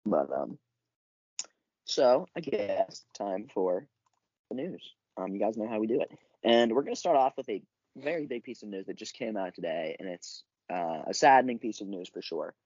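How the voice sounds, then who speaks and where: Give me a deep, dark-voiced teen guy clearing his throat. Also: normal speed, no echo. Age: teens. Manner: relaxed, filler-heavy. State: recovering from illness, clearing throat. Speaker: podcast co-host. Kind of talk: casual sports chat and news rundown. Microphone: basic home podcast setup. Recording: dull lo-fi dry recording.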